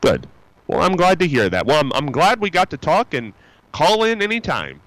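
A man hosting a radio call-in show, talking in a loose, folksy ramble with plenty of ums and restarts. His pitch swings up and down as he talks. The sound is close and dry. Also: sing-song, normal speed, fairly bright tone, dry recording, low-quality recording. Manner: rambling, chatty, with many fillers and restarts; upbeat and folksy. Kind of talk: casual call-in chat. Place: radio studio.

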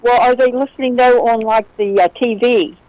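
A woman's voice: fairly high pitch, normal speed, darkish tone, no echo, rough recording. Chatty, meandering female caller. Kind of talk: casual phone chat.

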